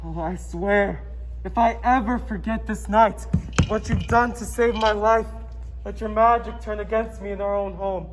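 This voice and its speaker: A man's voice: sits high, steady tone, a little slow, rough recording. An ardent young seafaring hero played by a young man, speaking in earnest, declamatory theatre style. It is a live stage-play performance. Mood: ardent vow. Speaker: student actor in a school competition play.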